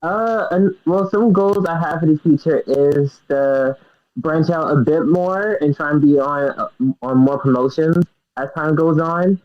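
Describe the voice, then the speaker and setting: A man's voice: on the high side, a little slow. A young man speaking as a guest in a casual interview, hesitant and giggly, leaning on lots of fillers. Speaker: guest wrestler. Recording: dull lo-fi sound.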